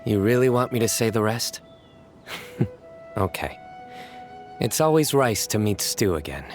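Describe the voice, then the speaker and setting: Low, wry, composed young investigator. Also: male voice, big pitch swings, faint music behind. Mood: smug, wry. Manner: measured, formal, dry humour. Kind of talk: video game voice acting.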